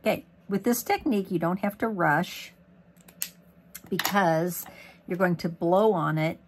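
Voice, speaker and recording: Gravelly, hoarse woman chatting through a craft demo. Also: on the low side, unhurried, slight background noise. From Missouri, USA. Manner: chatty, rambling, lots of ums and asides. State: losing her voice, hoarse. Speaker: craft demonstrator. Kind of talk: casual crafting tutorial. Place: craft desk indoors.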